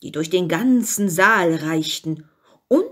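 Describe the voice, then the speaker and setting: A woman reading a fairy tale as scripted narration, expressive and with dramatic emphasis. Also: fairly low pitch, slow pace. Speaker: story reader.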